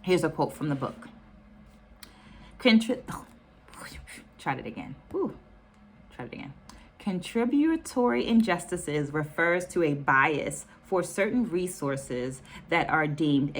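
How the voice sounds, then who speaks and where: A woman speaking reflectively and deliberately as an educator hosting an online video, repeating phrases for emphasis. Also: sits low, fairly bright tone. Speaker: educator and video host presenting book takeaways.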